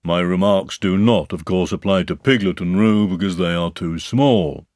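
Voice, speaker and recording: A man voicing a gloomy, self-important donkey in a storybook reading, speaking in a ponderous, drawn-out way. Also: low-pitched, darkish tone, no room sound, clean studio sound, natural voice. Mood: pompous, solemn. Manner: ponderous, pompous, drawn-out delivery. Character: gloomy, self-important, long-suffering old grey donkey.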